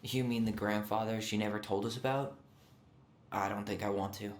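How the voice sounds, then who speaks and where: A man's voice: on the low side, steady tone, normal speed, roomy sound, close to an everyday voice. An actor playing a pensive, guarded young man in a video game, speaking in a subdued, hesitant, reflective way. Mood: wary. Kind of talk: naturalistic game cutscene dialogue.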